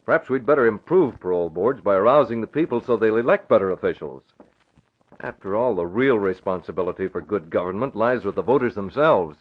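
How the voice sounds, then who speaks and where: An actor playing a composed, civic-minded newspaper publisher, speaking crisply and with authority in an old-time radio drama. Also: male voice, on the low side, expressive, brisk. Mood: earnest. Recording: thin phone-like vintage radio recording.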